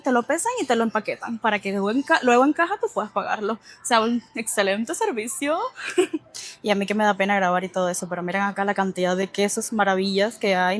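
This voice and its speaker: A woman with a Cuban accent hosting a vlog, chatting casually and expressively to the camera. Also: on the high side. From Cuba. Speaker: vlog host.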